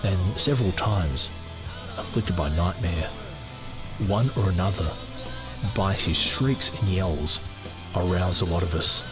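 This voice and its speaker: A man's voice: low voice, a little slow, long pauses, music in the background, poor audio. A man hosting a book-reading podcast, moving between reading aloud and informal, thinking-aloud commentary. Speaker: podcast host and book reader.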